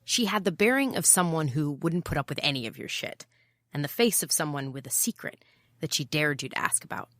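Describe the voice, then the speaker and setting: An actress playing a guarded, wry private eye in an understated noir audio drama. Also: female voice, low voice, a little fast, a bit bright, dry recording, clean studio sound. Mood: guarded, brooding. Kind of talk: noir first-person audio drama narration and dialogue.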